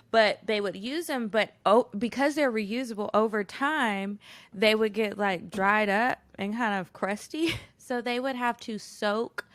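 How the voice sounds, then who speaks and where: A woman's voice: medium pitch, no echo. An American woman co-hosting a podcast, chatting casually in a candid, slangy way. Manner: candid, slangy, girlfriend-to-girlfriend delivery. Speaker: podcast co-host.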